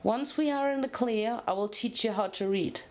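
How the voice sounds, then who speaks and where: A woman's voice: on the high side, moderate pace, close to an everyday voice. A woman voicing a kind, studious female unicorn pony, earnest and articulate, in a story reading. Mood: reassuring.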